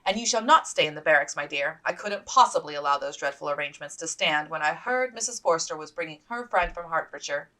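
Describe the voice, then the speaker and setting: A woman voicing a brisk, commanding and effusive officer's wife in a period storybook reading. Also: low-pitched, expressive, pushed and bright, low-quality recording. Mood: gushing.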